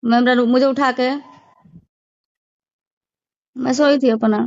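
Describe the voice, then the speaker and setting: Woman, slightly high voice, curt and indignant in a private row, muffled lo-fi phone-call recording. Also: fairly even intonation, slow pace. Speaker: caller in a personal phone argument. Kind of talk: heated private argument.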